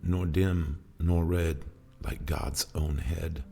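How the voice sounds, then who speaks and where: A man's voice: very deep voice, fairly even intonation, takes it slowly, vocal fry, dark tone. A man giving a theatrical, brooding and emphatic dramatic recitation of poetry. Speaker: poetry reader and performer. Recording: music under the voice. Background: guitar music.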